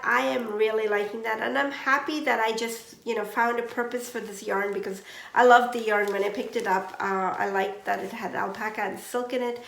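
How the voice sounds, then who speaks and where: Slow knitting podcast lady, bit congested, gravelly voice, roomy echo. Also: medium pitch. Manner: slow, gentle, with many ums and uhs. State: congested from seasonal allergies. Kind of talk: casual chat. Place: home.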